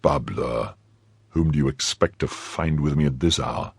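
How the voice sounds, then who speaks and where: A man voicing a phlegmatic, commanding gentleman musketeer in an audiobook, with a terse, measured delivery. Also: a bass voice, vocal fry, husky, no room sound, voice pushed down, heavily acted. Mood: concerned. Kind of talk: audiobook character acting.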